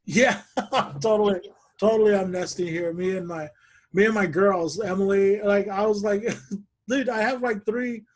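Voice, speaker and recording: A man hosting a podcast over a video call, telling stories in a relaxed chat and laughing often. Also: high voice, a little slow. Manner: laughs often, animated storytelling. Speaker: podcast host. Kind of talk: casual chat.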